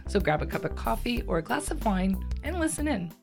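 A woman co-hosting a casual chat podcast, her voice husky and froggy from a cold, asking friendly questions and laughing often. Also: mid-range voice, very animated, brisk, over music. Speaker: podcast co-host.

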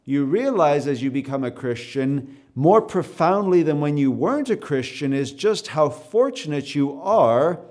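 A man with a Canadian accent preaching a sermon to a live audience, conversational and full of ums and repeated words, building up to emphatic, louder stresses. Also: fairly high pitch, big pitch swings, measured pace, hardly any pauses.

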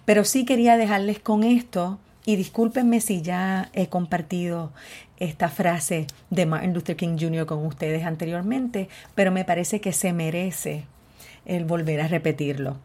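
A woman's voice: lowish voice, steady tone, unhurried, a little mellow. A woman from Puerto Rico with a Puerto Rican accent, hosting her own podcast in an outspoken, candid monologue. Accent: Puerto Rican Spanish. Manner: outspoken, candid, openly frustrated. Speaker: podcast host.

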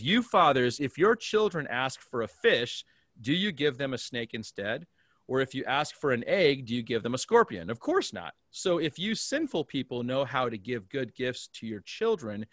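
An American man with a medium-pitched voice talks as a pastor being interviewed. He explains things in an earnest, conversational way and often checks that the listener follows. The sound is dry, with little room in it. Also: fairly bright tone, no room sound. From Alaska, United States.

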